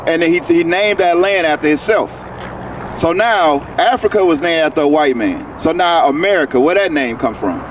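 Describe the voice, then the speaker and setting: High-voiced man teaching on the street. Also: animated, normal speed. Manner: questioning, tags lines with "right?". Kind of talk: outdoor street preaching. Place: outdoors on a city street. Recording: noisy street with traffic.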